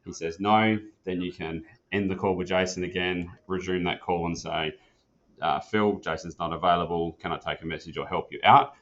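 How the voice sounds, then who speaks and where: Low-voiced man giving a casual tutorial. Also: fairly even intonation, moderate pace, a bit bright. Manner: casual, plain-spoken, practical. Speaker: instructor demonstrating an office phone system. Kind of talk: informal spoken product tutorial. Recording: dull, muffled lo-fi sound.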